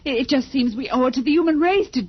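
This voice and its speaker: A woman's voice: high-pitched, fast, close to an everyday voice. An actress playing an indignant, defiant captive woman, earnest and urgent, in an old radio drama. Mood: distressed, indignant. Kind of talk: 1950s radio drama acting. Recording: muffled, worn old radio recording.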